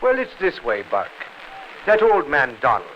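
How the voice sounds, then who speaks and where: An actor playing a grudging, scheming landlord who speaks in hints, in an old radio crime drama. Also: male voice, medium pitch, huge pitch swings, normal speed. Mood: insinuating. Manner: insinuating, hinting. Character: scheming, grudging Irish lodging-house landlord. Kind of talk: 1950s radio drama acting. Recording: muffled, hissy old 1950s radio recording.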